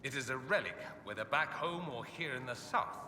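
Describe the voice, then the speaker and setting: Video game mage, male, played by an actor: suave, haughty, sardonic, highly animated intonation, recorded distant in a reverberant hall. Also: moderate pace, lightly acted. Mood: reflective, sincere. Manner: suave, sardonic, theatrically arch. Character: witty, haughty adult male mage from a fantasy empire. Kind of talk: video game character dialogue. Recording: echoey hall, clear background ambience noise.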